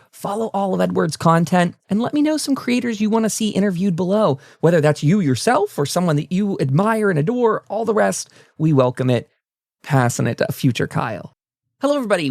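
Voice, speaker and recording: A man's voice: on the high side, a little mellow, no echo, studio quality. A man hosting a conversational podcast interview in an effusive, enthusiastic, gushing way.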